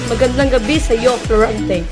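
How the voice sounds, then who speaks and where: A young woman rehearsing amateur drama lines, halting and repeating her words. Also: on the high side, steady tone, loud music behind the voice.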